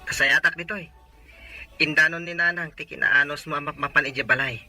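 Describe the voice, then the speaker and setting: A man's voice: on the high side, fairly even intonation, a little fast, bright, forceful tone, faint music behind, exaggerated voice. A man playing a sweet-talking young boyfriend in an audio drama. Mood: tender. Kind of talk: Ilocano audio drama dialogue.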